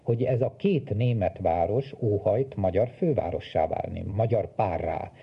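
A man's voice: lowish voice, creaky, husky. A man speaking as an expert historian in an interview, explaining in detail, on a dull recording. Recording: dull lo-fi sound.

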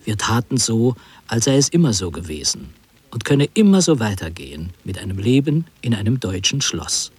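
A man playing a wry, reflective narrator recalling his wartime youth in literary radio drama, measured and lyrical. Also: on the low side, big pitch swings, breathy edge, no room sound, exaggerated voice.

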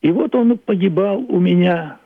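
An elderly man from Russia speaking reflectively and deliberately, in emphatic phrases with repetitions, like a philosophical monologue. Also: high voice, gravelly, dry recording. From Russia, Leningrad. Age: older, 80s. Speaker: playwright. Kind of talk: reflective radio monologue about own play and history. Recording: thin phone-like band-limited sound.

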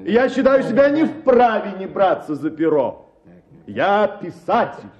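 An actor playing a pompous, self-styled writer holding forth to a bachelors' club, declaiming grandly in a radio play. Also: male voice, sits high, big pitch swings, unhurried. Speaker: speaker at a bachelors' club meeting. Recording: slightly dull radio recording, crowd murmur. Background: murmuring male audience.

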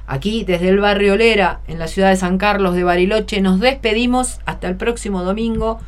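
A woman's voice: medium pitch, fairly quick. Playful woman co-hosting radio. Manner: playful, teasing banter. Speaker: community radio co-host. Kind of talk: casual radio sign-off.

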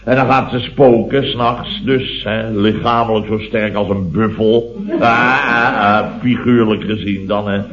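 A man's voice: fairly low pitch, fairly even intonation, measured pace, crowd noise, natural voice. Man acting a sleepy, grumbling company boss. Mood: exasperated, blustering. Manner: blustering, rambling, tagging phrases with "hè". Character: sleepy, grumbling, long-winded married male company boss. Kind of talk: 1970s radio comedy acting. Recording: dull old radio recording, studio audience.

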